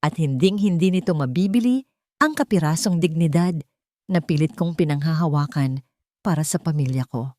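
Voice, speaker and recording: A woman's voice: deep voice, animated, normal speed, warm tone, dry recording, clean studio sound. A woman voicing a proud, indignant young working woman in a dramatic audio story. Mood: firm, pleading.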